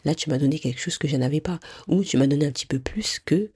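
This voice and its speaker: A woman's voice: sits low, fast talker, mellow, husky, no echo. A woman hosting a podcast, chatting directly to the listener with a stuffy nose, many fillers and restarts. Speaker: podcast host. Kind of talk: casual advice podcast monologue.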